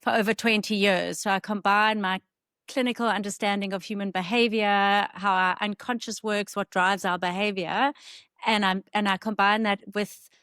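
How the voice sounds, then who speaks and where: South African woman, measured explainer, dry close podcast mic. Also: mid-range voice, steady tone, fairly bright tone, clear, resonant tone, dry recording. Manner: thoughtful, explanatory. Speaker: guest psychologist. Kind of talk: podcast interview.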